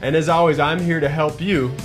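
A man in his thirties hosting a cooking demonstration in a studio kitchen, chatty and energetic, talking straight to the viewers. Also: a little high, a little fast. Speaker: cooking show host. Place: home-style studio kitchen, indoors. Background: background music, sizzling pan.

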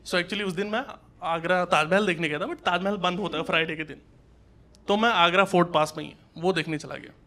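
Guy doing standup, high pitched, acts out convos, noisy club crowd behind him. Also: brisk, pushed and bright. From Uttar Pradesh, India. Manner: conversational, colloquial, acts out dialogue in anecdotes. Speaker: stand-up comedian. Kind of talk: live observational stand-up storytelling. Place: small comedy club stage, indoors. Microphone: handheld stage microphone. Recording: live club recording with audience noise. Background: audience laughter and noise.

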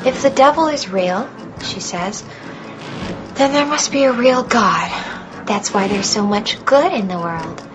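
Radio play: possessed young girl, high-pitched, unhurried, creepy, knowing and taunting. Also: lively intonation, over music. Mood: eerie, taunting. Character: possessed twelve-year-old girl, eerie, taunting, knowing. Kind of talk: radio drama acting.

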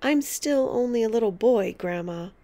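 A woman's voice: fairly high pitch, lively intonation, normal speed, dark tone. A woman voicing a polite little boy of eight in a storybook, plaintive and protesting. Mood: meek, questioning. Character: small eight-year-old boy, polite, dismayed by his grandmother.

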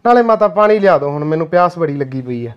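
A spoiled, demanding young son, played by a man, whining in a high, quick voice with a smooth, clean tone. The recording is dull and lo-fi. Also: animated, close to an everyday voice. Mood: whiny. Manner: whines and pleads.

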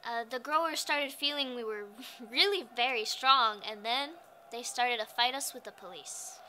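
An actress playing a spirited woman farmworker organizer recalling a strike in an animated, conversational way. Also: a little high, normal speed, a little hiss or hum. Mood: earnest. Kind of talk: dramatized oral history monologue.

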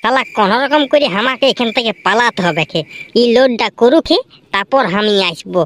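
An actor voicing a lazy, sly young village labourer in a comedy cartoon, whiny and argumentative, with a pitched-up effect. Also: lively intonation, a little fast, slight background noise.

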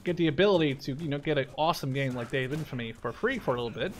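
Male gameplay streamer, voice a bit high, casual and profane, drifting off mid-sentence, gunshots and clicks audible behind. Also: lively intonation, very fast, slight background noise. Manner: rambling, profane, easily distracted mid-sentence. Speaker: video game streamer. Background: video game gunfire.

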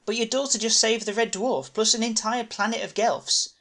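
Man doing a higher-pitched, matter-of-fact woman. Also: fairly even intonation, moderate pace, pushed and bright. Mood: matter-of-fact, wary.

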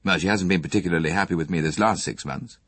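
A bitter, sardonic young man, played by a male audiobook reader. He speaks curtly and casually in a low, slightly husky voice, sounding angry and sorry for himself. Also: fast talker, a bit bright. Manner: curt, sardonic, casual phrasing.

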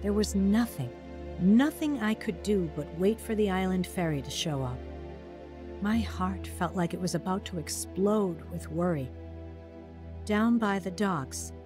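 A woman's voice: on the low side, lightly acted. A woman narrating her own past over music. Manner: earnest reflective. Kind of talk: scripted narration. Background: soft new-age music.

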